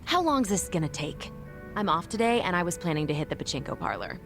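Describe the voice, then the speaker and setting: An actress playing a blunt, impatient young ex-nurse in a video game, with a dismissive, slangy way of talking. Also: female voice, on the low side, sing-song, fast, music in the background. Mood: impatient, indifferent. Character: brusque, impatient young ex-nurse, flippant pachinko fanatic. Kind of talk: dramatic game cutscene acting.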